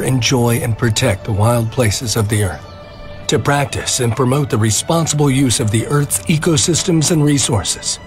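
A man's voice: mid-range voice, natural voice. A man playing an earnest environmental club spokesman in a scripted comic-book documentary.

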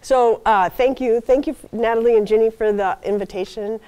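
An older woman giving a university guest lecture at a podium in a lecture hall, soft-spoken and explaining step by step with frequent ums and okays. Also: on the high side, fairly even intonation, moderate pace. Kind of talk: university seminar talk.

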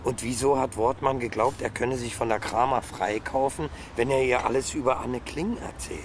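A man plays a police detective in a radio play, mid-pitched and colloquial. He is chummy but sardonic, talking over clear city traffic noise. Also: moderate pace, lightly acted. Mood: skeptical, sardonic. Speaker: actor in a radio crime drama. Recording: loud urban street noise.

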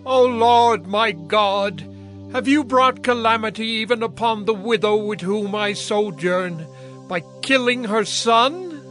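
An actor playing a stern, fervent Hebrew prophet in a dramatized audio Bible, declaiming solemnly. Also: male voice, high voice, takes it slowly, a bit bright. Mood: anguished pleading. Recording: music under the voice.

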